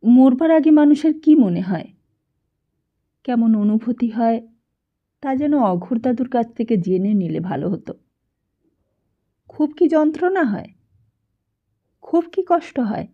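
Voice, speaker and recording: Female audiobook narrator, unhurried and expressive literary read, slightly muffled lo-fi sound. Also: on the high side. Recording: dull, lo-fi sound.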